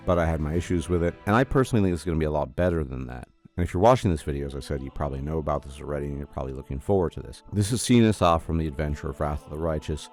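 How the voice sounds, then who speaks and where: Deep-voiced man with a congested cold. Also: a little mellow. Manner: enthusiastic, chatty, formal greeting. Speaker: gaming video host. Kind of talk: casual solo commentary to camera.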